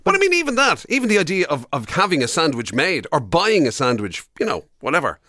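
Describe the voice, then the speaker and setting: An Irish man co-hosting casual radio banter, wry and bemused, halting as he questions things. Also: a little high, wildly swooping pitch, pushed and bright, no room sound, clean studio sound. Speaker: radio show co-host. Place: radio studio.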